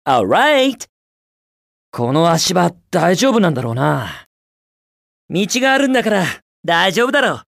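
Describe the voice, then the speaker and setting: A man's voice: high-pitched, sing-song, measured pace, gravelly, long pauses, fairly bright tone, no room sound, clean studio sound, heavily acted. A man voicing a cocky, carefree young hedgehog hero in a video game, with breezy, confident, slangy delivery. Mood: wary, calm.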